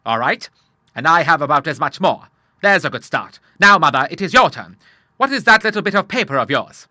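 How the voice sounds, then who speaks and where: An old Admiral played by a male reader from an audiobook, bluff, boisterous and proudly fatherly. The voice is very forceful and sharp, swinging widely in pitch, and it is recorded close and dry. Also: big pitch swings, a little fast, dry recording, full character voice. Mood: hearty, eager. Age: older. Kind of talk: audiobook character voice.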